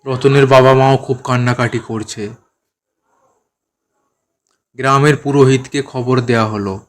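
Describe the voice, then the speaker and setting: A man telling a scripted horror story as narrator, in a measured, dramatic manner. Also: steady tone. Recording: clear noisy ambient rumble behind narration.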